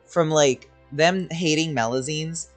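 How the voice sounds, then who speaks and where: A man's voice: fairly high pitch, takes it slowly, soft background music. A man giving casual, gushing, sweary commentary as he plays through a game. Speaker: gaming streamer.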